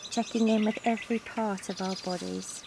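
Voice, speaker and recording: A woman leading a guided yoga nidra relaxation in a calm, gentle, soothing manner with long pauses, recorded outdoors in a garden. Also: mid-range voice, vocal fry. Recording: outdoor recording with insect and nature noise. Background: insects, sounds of a sunny garden.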